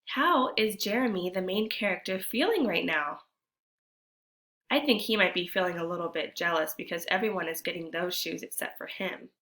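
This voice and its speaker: A woman teacher reading a picture book aloud to children, expressive and deliberate, pausing to put questions to her young listeners. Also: on the low side. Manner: addresses children directly, deliberate and animated.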